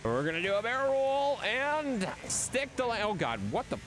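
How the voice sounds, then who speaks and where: A man's voice: very high-pitched, moderate pace. A man in his thirties streaming a racing game from a home setup, commentating in self-mocking bursts and yelping at his crashes. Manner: excitable, swoops up into yelps at crashes; self-mocking, addresses chat as guys. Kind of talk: live gameplay commentary. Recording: game music under voice. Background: game music.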